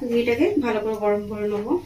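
A woman's voice: a little high, level tone, quick pace, a little hiss or hum. A woman hosting a home cooking channel, chatting to her viewers as friends while she cooks at the stove in her kitchen, talking them through each step. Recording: echoey tiled kitchen with loud frying. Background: sizzling and frying from the pan.

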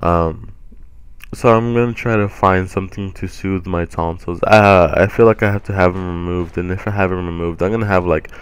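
A young man hosting a gaming channel, rambling casually over gameplay with a deep voice and many ums, his throat a little sore. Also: steady tone, normal speed, clear, resonant tone, no echo. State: sore throat from swollen tonsils.

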